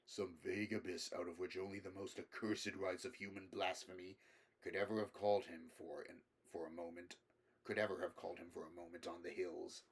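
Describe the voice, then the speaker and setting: A man's voice: deep voice, flat delivery, brisk, husky, pitched below the performer's own voice. A man voicing a grave, learned figure who speaks carefully and solemnly in a horror story reading. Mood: grave, measured.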